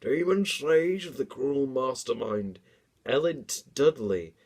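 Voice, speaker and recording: A man's voice: mid-range voice, animated, takes it slowly, a little mellow, slightly rough, voice pushed up, heavily acted. A man voicing an old aunt from a fantasy storybook, speaking in an ominous, dramatic way. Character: old aunt gravely explaining demon slaves and curses.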